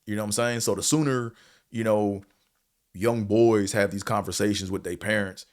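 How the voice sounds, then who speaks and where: A man with a deep voice and a slight stutter, giving a blunt, profane, rambling opinion monologue as a show host, full of fillers. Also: steady tone, moderate pace. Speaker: show host reviewing a series.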